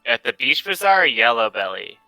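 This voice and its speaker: A man's voice: mid-range voice, big pitch swings, brisk, gravelly, bright, forceful tone. A man chatting casually as a player in a tabletop roleplaying game.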